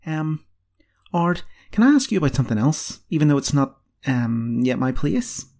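A man voicing a cheeky, excitable nineteen-year-old female apprentice with a pert, eager, girlish manner. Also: fairly high pitch, normal speed, pitched above the performer's own voice. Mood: tentative. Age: young adult.

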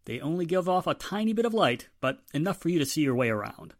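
A man's voice: on the high side, very animated, fast. A man playing a stern, fatherly police inspector in a 1940s-style radio drama, admonishing and authoritative. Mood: helpful.

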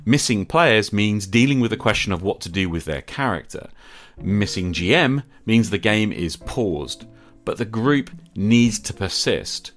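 A man's voice: fairly low pitch, animated, a little slow, faint music behind. A middle-aged man hosting a podcast, delivering an earnest scripted monologue in short, emphatic phrases. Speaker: podcast host.

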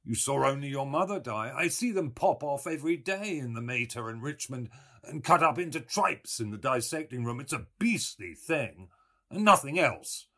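A man voicing a brash young medical student who talks loudly and mockingly. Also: medium pitch, moderate pace, pitched above the performer's own voice, exaggerated voice. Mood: scornful. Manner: loud, excitable, mocking.

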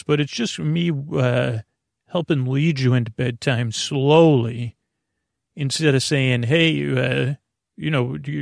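A man hosting a bedtime podcast in a rambling, halting monologue, over-explaining and trailing off with a creaky, gravelly voice. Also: mid-range voice, no room sound, clean studio sound. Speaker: podcast host.

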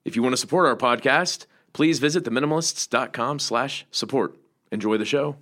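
A man's voice: mid-range voice, a little fast, a bit bright. A man in his thirties co-hosting a podcast, dropping in short interjections and dry quips. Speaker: podcast co-host. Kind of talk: casual podcast chat.

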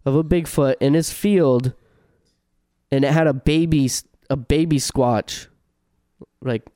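A man's voice: medium pitch, unhurried, dark tone, dry recording, studio quality. A man hosting a podcast, explaining things earnestly and laughing easily. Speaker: podcast host. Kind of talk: casual chat.

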